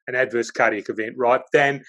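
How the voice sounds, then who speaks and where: A man's voice: mid-range voice, steady tone, fairly quick, fairly bright tone. Male podcast host, casual and rambling, lots of restarts. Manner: rambling, hesitant, many restarts. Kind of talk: casual interview.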